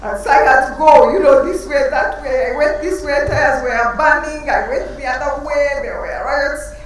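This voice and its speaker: A woman giving a lively, storytelling conference talk with emphatic asides. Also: sits high, moderate pace, slight background noise. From Uganda. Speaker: conference panelist. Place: large conference hall. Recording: roomy hall, speaker far from mic.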